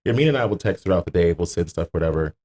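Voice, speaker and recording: A man's voice: sits low, lively intonation, racing, warm tone. An American man in casual podcast conversation, rambling and repeating words for emphasis as he goes. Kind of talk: casual chat.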